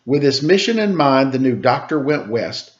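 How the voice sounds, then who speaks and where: A man reading a rhyming children's picture book aloud in a sing-song storytime manner, giving the quoted lines a lively lift. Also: medium pitch, normal speed, lo-fi sound.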